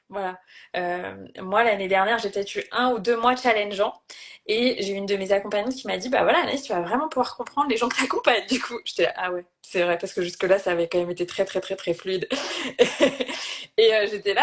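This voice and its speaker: A woman hosting a casual live-stream conversation as a life coach, speaking thoughtfully and sharing personal anecdotes. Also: mid-range voice.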